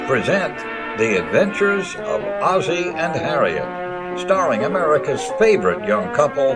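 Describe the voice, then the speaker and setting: A man's voice: fairly high pitch, big pitch swings, a little slow, low-quality recording. A man playing a showy old-time radio announcer, theatrical and emphatic. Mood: grand, promotional. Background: music.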